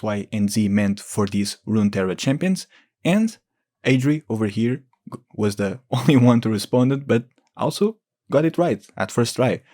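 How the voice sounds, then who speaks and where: A man's voice: medium pitch, a little mellow. A man giving informal, explanatory lore commentary as a gaming video creator.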